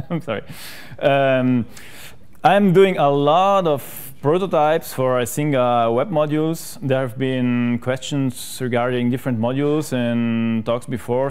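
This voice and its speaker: This is a man. He speaks from a conference room stage in a smooth, clear, mid-pitched voice. His delivery is casual and self-mocking, with frequent fillers and restarts. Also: a little mellow. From South Tyrol, Italy. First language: German. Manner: chatty, self-deprecating, many fillers and restarts. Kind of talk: technical conference talk.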